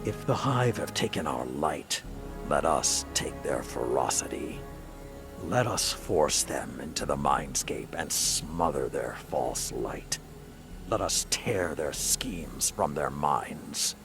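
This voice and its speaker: A battle-hardened old game commander with an extremely low, gravel-edged voice. He is stern and solemn, weighing every word at a slow, measured pace. Music plays behind him. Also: animated, exaggerated voice. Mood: fierce, grim. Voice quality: deep, gravelly. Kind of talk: dramatic video game dialogue.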